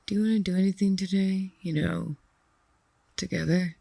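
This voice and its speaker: A woman playing an anxious, lovesick young best friend in an audio drama, speaking in an earnest, halting way. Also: on the low side, fairly even intonation, vocal fry, background noise. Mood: wistful.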